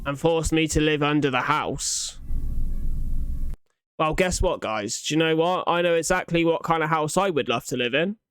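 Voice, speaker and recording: A young man with a slightly high voice reacts to what he is watching. He talks casually and informally, thinking aloud and swearing freely as he comments. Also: normal speed, a bit bright, a little hiss or hum. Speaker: reaction video host.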